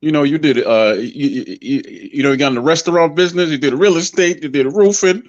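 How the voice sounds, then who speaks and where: A man's voice: a little high, brisk. Friendly male host, dull lo-fi sound. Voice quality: friendly, joking, calls the guest brother. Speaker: boxing show host, interviewer. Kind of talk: casual interview chat. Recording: dull lo-fi audio.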